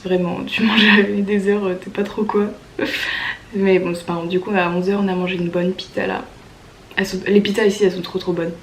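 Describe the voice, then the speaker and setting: A woman's voice: mid-range voice, slight background noise. A woman in her early twenties from France with a French accent, chatting casually and enthusiastically in youthful slang like a vlogger, her voice congested from a cold. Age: early 20s. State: stuffy voice from a cold. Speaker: au pair vlogger. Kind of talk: casual chatty vlog storytelling.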